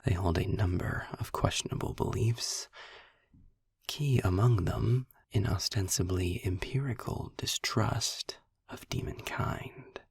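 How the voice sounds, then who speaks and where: A man playing a sardonic, darkly amused demon in an intimate audio roleplay, telling his tale in a breathy, gravelly near-whisper with wordy, deliberate phrasing and dramatic pauses. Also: fairly low pitch, dry recording, clean studio sound, exaggerated voice. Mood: wry, intrigued. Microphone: close microphone in a dry, quiet space.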